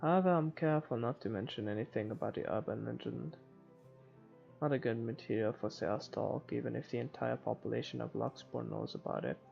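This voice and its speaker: A man narrates a visual novel for a game playthrough. His voice is creaky and his read-aloud delivery is informal and a little halting. The sound is slightly muffled, with music underneath. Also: mid-range voice. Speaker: video game let's player.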